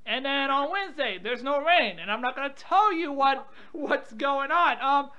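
Woman, very high and forceful voice, testy phone banter, muffled lo-fi recording. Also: animated, moderate pace. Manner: irritable, confrontational. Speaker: prank call participant. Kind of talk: phone banter, reading out weather updates. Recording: dull lo-fi audio.

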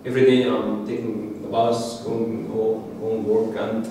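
A middle-aged man with an Egyptian Arabic accent, Arabic native, giving an informal lecture to an audience, halting and repeating words. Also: on the low side, little pitch movement, dark tone. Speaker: masterclass speaker. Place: dark screening room with audience. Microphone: handheld mic, filmed from the back of the room. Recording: echoey room, distant mic, faint hiss.

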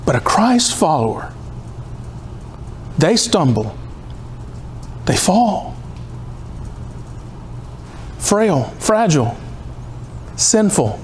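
Fifties male pastor, slightly high voice, pleading and rhythmic, faint hiss in a church hall. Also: very animated, very slow, pauses often. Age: 50s. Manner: impassioned, rhythmic repetition, pleading. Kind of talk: sermon. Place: church hall in front of a cross.

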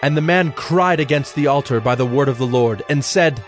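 Solemn male scripture narrator, music underneath. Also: medium pitch. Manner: measured, solemn storytelling. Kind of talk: scripted dramatized Bible narration.

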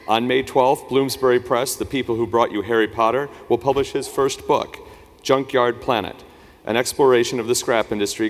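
A man acting as conference host, reading a formal speaker introduction from notes. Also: mid-range voice. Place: large conference hall. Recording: reverberant hall.

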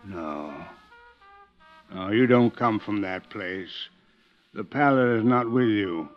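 An actor playing a drunken, washed-up older lawyer in a 1950s radio drama, pompous and florid, with boozy hesitations. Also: male voice, fairly low pitch, lively intonation, slow. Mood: florid, scornful. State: drunk (acted). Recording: muffled old radio recording with music.

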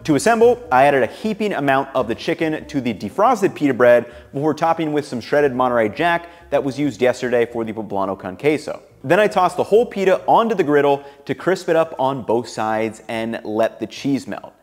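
A young man in his twenties, upbeat and relaxed, narrating his home cooking and chatting to the camera in his kitchen. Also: medium pitch, brisk, few pauses, faint music behind. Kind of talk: cooking vlog voice-over and casual to-camera chat.

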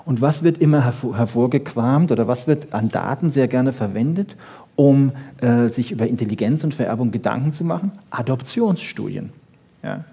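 Husky, mellow man giving a lecture. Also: medium pitch, lively intonation, normal speed. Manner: conversational, rhetorical questions, tags statements with "ja". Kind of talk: popular science lecture to an audience.

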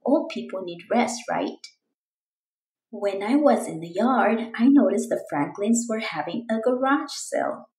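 Eager storybook girl played by a woman, earnest, expressive, unhurried, lightly high-pitched. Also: roomy sound, poor audio. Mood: excited. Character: eager, determined young girl writing her diary.

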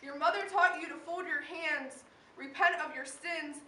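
Very high-voiced young woman reciting slam poetry. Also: moderate pace, pushed and bright. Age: teen or young adult. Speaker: slam poet. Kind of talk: spoken word slam poetry performance. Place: live poetry event in a room. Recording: echoey room, distant mic, faint noise.

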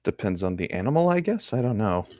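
A man's voice: on the low side, big pitch swings, fast, a little mellow, dry recording. A man chatting casually and reading a novel aloud among friends. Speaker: co-host reading a book aloud for commentary.

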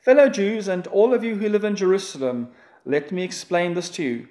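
A man's voice: sits high, darkish tone. Solemn man leading prayer and scripture. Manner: solemn, measured liturgical reading. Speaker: pastor. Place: church.

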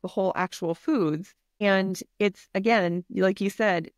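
A woman's voice: medium pitch, a little slow, no echo. A woman health and wellness coach, a podcast guest, talking in an earnest, encouraging, practical way. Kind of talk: podcast interview.

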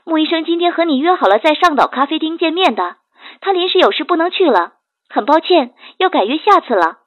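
Sweet, high young assistant voiced by a woman, dull sound. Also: animated, a little fast. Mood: sweet, apologetic. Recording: dull, band-limited phone-call sound.

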